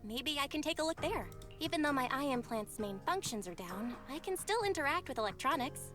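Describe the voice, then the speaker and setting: A young female tech guide in a video game, with a high voice over background music. She sounds eager and helpful, talking in a focused, technical way. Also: lively intonation, a little fast. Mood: curious, focused.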